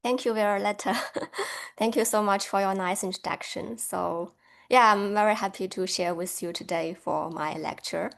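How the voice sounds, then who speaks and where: Woman, academic lecture, video call, mid pitch, light laughs. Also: moderate pace, vocal fry, a bit bright. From China. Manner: friendly, with frequent light laughs.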